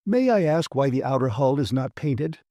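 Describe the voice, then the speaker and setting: A man's voice: mid-range voice, sing-song, normal speed, warm tone, no room sound. A man voicing a blustering, self-important male station director in an audiobook, with a pompous, badgering, officious manner. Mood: scornful, threatening. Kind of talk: dramatised audiobook reading.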